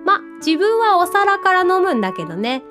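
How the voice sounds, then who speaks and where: A woman voicing a friendly, contented talking cat who runs a drink stall in an audio drama, speaking gently and politely with a playful touch. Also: very high voice, big pitch swings, moderate pace, over music. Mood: earnest, happy. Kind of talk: Japanese audio drama acting.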